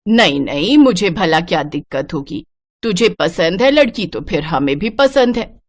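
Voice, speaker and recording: A woman's voice: on the low side, lively intonation, unhurried, no echo, clean studio sound. A woman voicing a scolding, old-fashioned mother-in-law in a family cartoon, nagging and disapproving. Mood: grudging. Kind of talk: cartoon story voice acting.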